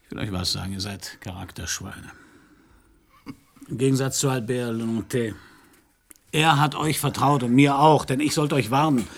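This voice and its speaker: An actor playing a blunt, world-weary detective with an anarchist past, narrating and acting gruffly in a German radio crime play. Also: male voice, mid-range voice, moderate pace, pauses often. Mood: angry, resolute.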